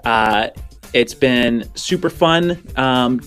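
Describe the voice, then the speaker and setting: A man runs a tabletop roleplaying show as host and game master. His mid-pitched voice moves through casual, descriptive narration pieced together in fragments, with faint music behind him. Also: extremely slow, a bit bright.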